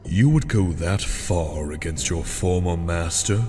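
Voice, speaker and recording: A man's voice: sits low, a little slow, dark tone, slight background noise. A man voicing a fearsome demon warlord in a comic dub, commanding, formal and imperious. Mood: measured. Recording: long reverb, faint music behind.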